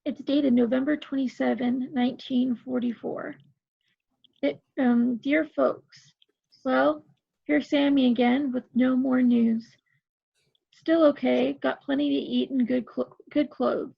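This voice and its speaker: A woman playing an earnest young woman who shares a soldier's letter in an amateur play reading over a video call, reading a little haltingly. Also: sits high, steady tone, gravelly, slightly rough, no room sound, exaggerated voice. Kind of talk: amateur play table read. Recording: muffled video call audio.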